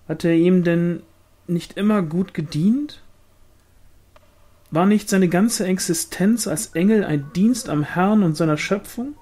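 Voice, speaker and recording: A man's voice: fairly high pitch, warm tone. A man reading a literary novel aloud as scripted audiobook narration. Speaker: audiobook reader.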